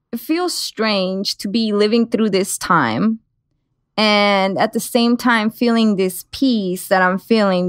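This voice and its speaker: A woman's voice: medium pitch, clear, resonant tone. A woman in her twenties, a guest on a podcast interview over a video call, speaking reflectively and filling her speech with "like". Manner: reflective, with many "like" fillers. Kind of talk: casual interview chat. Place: home room, video call. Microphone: headset.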